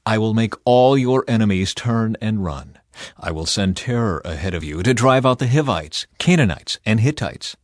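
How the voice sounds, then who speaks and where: A man's voice: fairly low pitch, animated, normal speed, a little mellow, no room sound. Dry-sounding male scripture narrator. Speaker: audiobook Bible reader.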